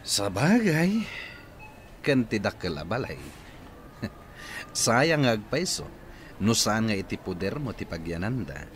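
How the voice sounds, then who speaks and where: An actor playing a nosy, scheming neighbor with a sly, wheedling way of talking. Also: male voice, lowish voice, sing-song, slow pace, pauses often, slight background noise. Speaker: radio drama actor. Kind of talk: radio drama acting.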